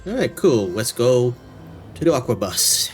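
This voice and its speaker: A man's voice: medium pitch, big pitch swings, measured pace. A man streaming a game live, giving casual commentary in offhand, dry asides. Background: game music.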